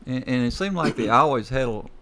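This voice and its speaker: An older man and grandfather reminiscing slowly about baseball in a casual talk, acting as the host. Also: medium pitch, lively intonation, sharp tone. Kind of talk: casual chat.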